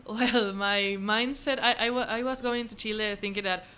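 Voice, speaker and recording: A woman's voice: medium pitch, steady tone, moderate pace, sharp tone, clean tone. A young Argentinian woman, a native Spanish speaker, answering casually as an interview guest and often searching for words.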